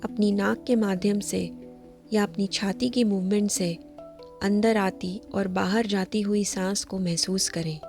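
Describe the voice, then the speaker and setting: A woman with a mid-pitched voice guides a meditation over faint background music. She speaks as an instructor reading a prepared script, with gentle, measured phrasing. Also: fairly even intonation, gravelly.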